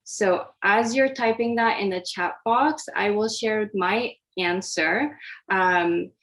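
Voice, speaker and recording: A woman's voice: mid-range voice, slow pace, fairly bright tone. A woman from Japan, an oncology dietitian, giving a friendly, interactive online presentation over a video call, with many fillers and restarts. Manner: friendly, hesitant with frequent fillers.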